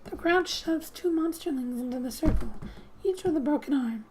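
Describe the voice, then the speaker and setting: A woman speaking softly and breathily, often near a whisper, as she gently reads game text aloud for an ASMR gaming video. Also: high voice, moderate pace, a little hiss or hum.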